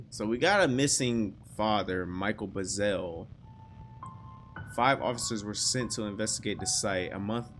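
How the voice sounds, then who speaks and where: A man hosting a reaction video, commenting casually in slangy asides and reading captions aloud. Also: lowish voice. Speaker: host reacting to an online horror series. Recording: music playing behind the voice.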